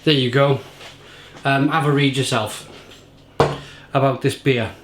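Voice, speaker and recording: An enthusiastic man reviewing a beer to camera, rambling and repeating himself, slightly croaky. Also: medium pitch, fairly even intonation, measured pace, roomy sound, noisy background. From England. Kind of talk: casual tasting review to camera.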